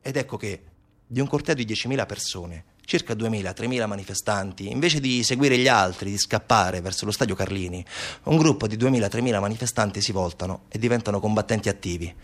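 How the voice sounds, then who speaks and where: A man performing a dramatic narrative monologue as a stage actor, urgent and rhetorical in delivery. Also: lowish voice. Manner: urgent, rapid-fire delivery with rhetorical repetition and lists. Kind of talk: dramatic monologue, theatrical storytelling for radio.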